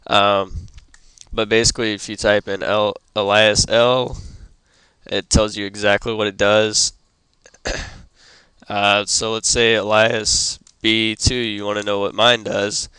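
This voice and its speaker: A young man who is sick and losing his voice, hosting a casual computer tutorial and stopping often with ums and throat clearing. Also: lowish voice, little pitch movement, slow pace, pushed and bright, dry recording. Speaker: tutorial host. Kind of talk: casual screen-recorded tutorial.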